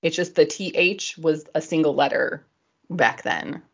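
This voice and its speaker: A woman's voice: sits low, a little slow, vocal fry, a bit bright, husky, highs cut off. A woman podcast host chatting casually as a nerdy, giggly explainer who wanders off on digressions.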